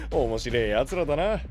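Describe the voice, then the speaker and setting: A man's voice: on the high side, heavily acted. A man playing a rugged, big-hearted young knight leader, brash and frank, in an anime audio drama. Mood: amused, cheerful.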